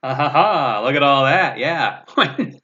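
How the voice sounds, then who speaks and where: A man's voice: mid-range voice, expressive, unhurried, pushed and bright. A man chatting casually as a guest on a gaming stream, wry and self-deprecating. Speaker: guest gamer. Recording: dull, lo-fi voice audio.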